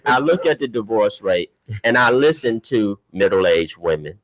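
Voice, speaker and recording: A man's voice: on the low side, animated, measured pace, rough recording. A middle-aged man hosting a talk radio show from a small studio, blunt and joking as he argues his point. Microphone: studio boom mic with headphones.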